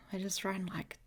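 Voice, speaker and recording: A husky, breathy female werewolf, acted by a woman, hot-tempered and possessive of her mate. She talks bluntly and sardonically, close to the listener, at an unhurried pace in an intimate audio roleplay. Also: medium pitch. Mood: winded, apologetic. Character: hot-tempered, protective, possessive young she-wolf pack leader.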